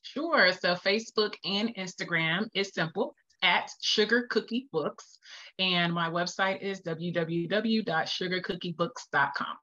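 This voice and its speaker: Bright-voiced woman, slightly muffled recording. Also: fairly low pitch, a little slow. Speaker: guest, children's book author. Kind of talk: interview answers, casual chat.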